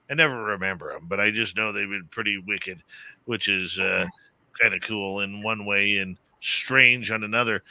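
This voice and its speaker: A man's voice: lowish voice, no room sound, rough recording. A man hosting a late-night talk radio show, upbeat and chatty with his guest. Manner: upbeat, excitable sign-offs.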